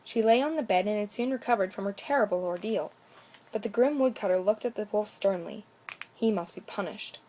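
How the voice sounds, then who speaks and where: A woman's voice: medium pitch, a little fast, warm tone. A woman storyteller reading a children's bedtime story aloud with expression.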